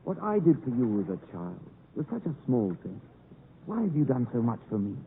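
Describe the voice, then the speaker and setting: An actor playing an earnest, loyal young gentleman in a dramatic 1950s radio production. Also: mid-range voice, very animated, normal speed, a little hiss or hum. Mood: tense. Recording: muffled 1950s radio broadcast.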